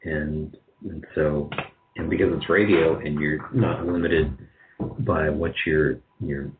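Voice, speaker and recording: Low-voiced man in a muffled interview. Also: steady tone, slow, background noise. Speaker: guest, radio drama producer. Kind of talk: conversational interview answers.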